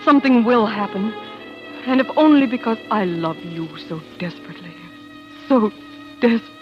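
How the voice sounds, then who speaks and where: Earnest actress as a determined wife, vintage radio. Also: female voice, fairly high pitch, animated, soft background music, close to an everyday voice. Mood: devoted, yearning. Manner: dramatic, earnest, quick to bristle. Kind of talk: 1940s radio drama acting. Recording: dull, lo-fi vintage radio recording.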